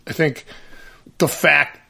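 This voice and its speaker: A man's voice: slow pace, bright, forceful tone. A man, a band's singer, chatting casually with lots of restarts and fillers. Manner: rambling, many restarts and fillers. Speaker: singer in a band, guest. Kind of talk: casual conversation.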